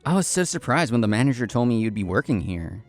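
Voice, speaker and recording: A man's voice: mid-range voice, sing-song, quick pace, faint music behind. A man playing an earnest, polite young café assistant manager, narrating his own story in scripted first person. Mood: earnest, reflective. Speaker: narrator and lead actor of a manga story video.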